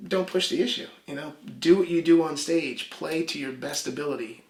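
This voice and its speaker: Halting man in an echoey room. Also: fairly high pitch. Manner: halting, many restarts. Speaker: musician guest. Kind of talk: casual interview chat.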